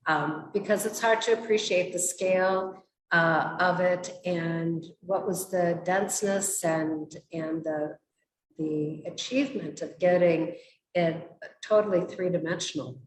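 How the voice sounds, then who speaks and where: Unhurried low-voiced woman hosting, roomy sound. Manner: halting, measured. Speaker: host interviewing artists for a museum. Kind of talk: hosted artist conversation. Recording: roomy echo with noticeable background noise.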